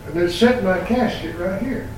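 An old man in his eighties preaching a sermon in a church, quoting scripture in slow, emphatic phrases. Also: sits high, darkish tone, slight background noise. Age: 80s. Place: church sanctuary. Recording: very echoey church from far off.

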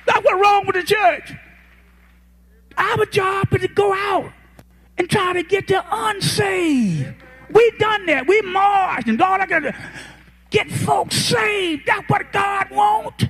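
A man in his eighties, a pastor, preaching a folksy, impassioned sermon that rises to shouted peaks. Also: very high-pitched, slow. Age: 80s.

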